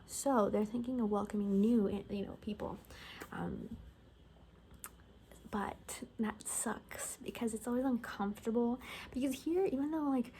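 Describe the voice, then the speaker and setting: A woman with a dark, mellow voice at an average pitch gives a soft-spoken ASMR performance as a gossipy survivor. She rambles in a wry, offhand way and often slips into whispering. There is faint noise in the background. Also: poor audio. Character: gossipy female bunker survivor in a zombie apocalypse. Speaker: ASMR roleplay host.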